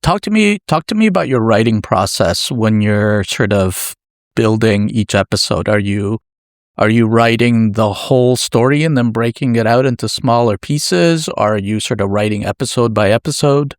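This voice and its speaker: Low-voiced man interviewing, dry sound. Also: normal speed, no echo. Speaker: podcast host, interviewer. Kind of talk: casual interview questioning.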